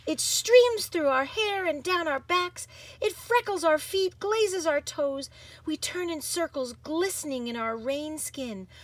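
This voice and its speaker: A woman reading a picture book aloud in an expressive, dramatic manner. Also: high voice, measured pace, dry recording, rough recording. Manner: animated, dramatic, varies from hushed to exuberant. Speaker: storybook reader.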